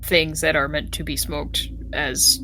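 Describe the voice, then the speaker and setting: A woman with a fairly low voice speaks as a player in a casual tabletop game. Her delivery is very slow, measured and a little halting, with music behind her. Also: little pitch movement, sharp tone.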